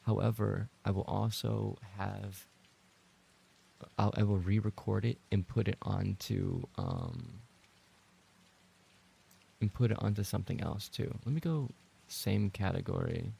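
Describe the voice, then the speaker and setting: A man's voice: fairly low pitch, animated, a little slow, vocal fry, long pauses, mellow, slightly rough. A man livestreaming and chatting casually with his viewers, bantering in a playful, mock-indignant way. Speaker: live streamer and voice actor.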